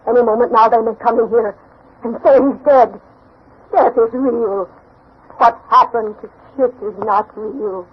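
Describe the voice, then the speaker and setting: A woman's voice: on the high side, steady tone, unhurried, breathy edge. An actress playing a grieving, fearful elderly grandmother in a dramatic, emotional 1940s radio drama style. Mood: anguished, fearful. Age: older. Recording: muffled old radio broadcast.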